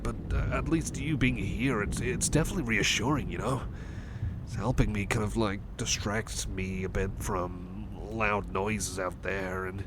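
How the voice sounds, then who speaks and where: Man playing a nervous, stammering cyborg, stormy background. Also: fairly low pitch. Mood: grateful, anxious. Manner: stammering, hesitant, rambling, repeats himself. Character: anxious, timid young male cyborg buddy, gentle and apologetic. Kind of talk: ASMR roleplay, intimate chat to listener. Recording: noisy thunderstorm ambience behind voice.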